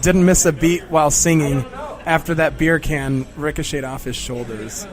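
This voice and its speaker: Male comic, raspy, slightly high-pitched, rambling jokey interview chat. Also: steady tone, normal speed, a crowd in the background. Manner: joking, rambling, clears throat often. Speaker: stand-up comedian, feature act. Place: pizzeria comedy venue.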